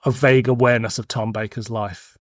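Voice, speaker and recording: A British man in his forties hosts a casual podcast chat. He tells anecdotes in a frank, cheeky way, with a middling pitch and a close, dry sound. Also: steady tone, a little fast, dry recording. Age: 40s. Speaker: podcast host.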